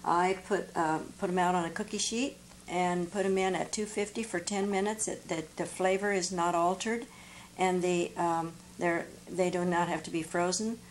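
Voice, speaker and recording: A woman's voice: fairly low pitch, fairly even intonation, moderate pace, a little hiss or hum. An older woman on a studio panel sharing practical home cooking tips in a chatty, homely way. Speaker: panel guest. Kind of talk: conversational studio panel chat. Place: TV studio set, indoors.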